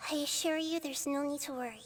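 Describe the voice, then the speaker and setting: A woman voicing a gentle, curious young girl goddess in a game cutscene, speaking softly and earnestly. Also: sits high, fairly even intonation, a little fast, slight background noise, lightly acted. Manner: soft, earnest, reassuring.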